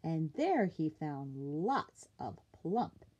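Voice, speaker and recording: Lady reading a kids book out loud, really slow n lowish, husky, very animated intonation. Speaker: library storytime reader. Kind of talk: expressive children's picture book narration.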